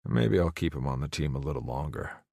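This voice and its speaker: A man's voice: very deep voice, brisk, vocal fry, a little mellow, dry recording, studio quality. A man narrating a romance audiobook in the first person, in a brooding, terse manner.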